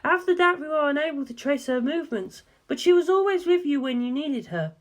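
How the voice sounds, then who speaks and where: A woman's voice: high-pitched, animated, a little fast. A woman voicing a talkative, assertive young woman with a teasing streak in a dramatic audiobook. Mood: insistent, knowing. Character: Darlington, sharp teasing young English woman. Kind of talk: dramatic audiobook character dialogue.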